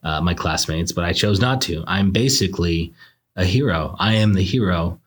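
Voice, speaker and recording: Hoarse, deep-voiced young man joking. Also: moderate pace. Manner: self-deprecating, joking, laughs often. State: hoarse, recovering from illness. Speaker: podcast host, law student. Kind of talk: casual monologue and interview.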